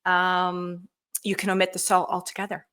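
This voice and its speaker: A woman hosting a live canning Q&A, chatty and enthusiastic, with frequent ums and a slightly hoarse voice. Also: on the low side, monotone, normal speed, bright, forceful tone. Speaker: canning cookbook author hosting a live show. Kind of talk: casual live Q&A cooking demo.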